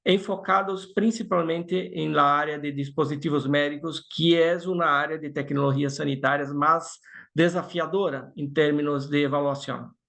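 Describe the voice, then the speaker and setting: A man from Brazil speaking with a Brazilian Portuguese accent as a guest expert, presenting in a webinar and haltingly searching for words in a language that is not his native Portuguese. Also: a little high, fairly even intonation, gravelly, fairly bright tone.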